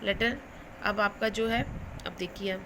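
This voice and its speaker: A woman's voice: mid-range voice, fairly even intonation, a little slow, background noise. A woman with an Indian accent teaching English to school students, explaining instructively and switching between Hindi and English phrases as she repeats and translates.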